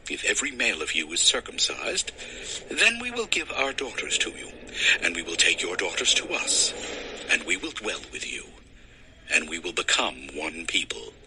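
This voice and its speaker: An actor playing a scheming brother of an ancient clan in an audio Bible drama, bargaining deliberately. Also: male voice, deep voice, big pitch swings, background noise. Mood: calculating.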